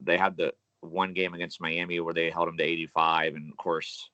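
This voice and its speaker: A man's voice: low voice, monotone, brisk, pushed and bright, dry recording. A man giving casual sports opinions as a podcast co-host, recorded on a muffled, lo-fi microphone.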